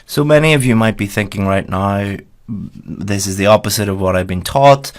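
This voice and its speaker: A man's voice: fairly low pitch, normal speed. A man from Ireland, teaching a lesson over slides in a direct, explaining way that repeats key points.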